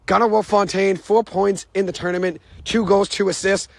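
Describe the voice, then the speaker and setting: A young man hosting a sports podcast, rattling off stats in an excited, unscripted recap, his voice a little hoarse. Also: high-pitched, no room sound. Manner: excited, rapid-fire stat recitation. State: hoarse, says he lost his voice. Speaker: podcast host.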